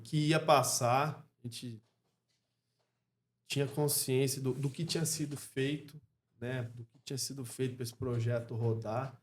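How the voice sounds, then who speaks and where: A Brazilian man with a mid-pitched voice chats as an interview guest. He speaks reflectively and haltingly, tagging phrases with little questions, with some noise and room ambience behind him. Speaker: podcast guest, clothing store co-founder. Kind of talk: casual interview conversation.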